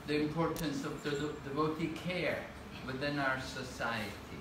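An older man giving a talk in a temple hall, speaking in short measured phrases so that an interpreter can follow. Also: a little high. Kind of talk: lecture with consecutive interpretation. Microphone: room camera at a distance. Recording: echoey room from far off, with noise.

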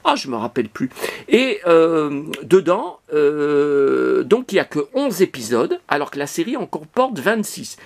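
A man talks straight to his phone camera in a cluttered apartment, rambling from one subject to the next. His voice sits a little high, and he keeps pausing and restarting his sentences as he goes. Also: very animated, gravelly. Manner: chatty, digressive, many hesitations and restarts. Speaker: collector vlogger. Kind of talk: rambling casual monologue to camera.

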